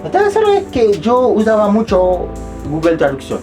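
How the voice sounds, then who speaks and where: Young man, halting non-native Spanish. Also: high voice, huge pitch swings, darkish tone, faint music behind. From abroad, not Chile. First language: not Spanish. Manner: rambling, self-mocking, many restarts and repeated words. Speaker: vlogger. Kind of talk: casual storytelling to camera.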